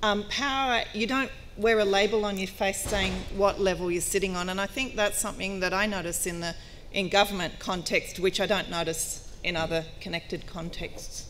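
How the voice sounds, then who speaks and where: A woman panelist makes a short comment in a crisp, matter-of-fact tone. Faint room ambience sits behind her voice. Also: mid-range voice, fairly even intonation, moderate pace, a bit bright, slight background noise. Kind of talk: conference panel remarks.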